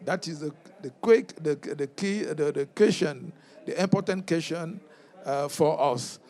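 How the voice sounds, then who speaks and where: A man from Cameroon, a French speaker with a Cameroonian French accent, giving halting remarks as a member of parliament on a conference panel, full of fillers and restarts. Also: a little high, a little mellow.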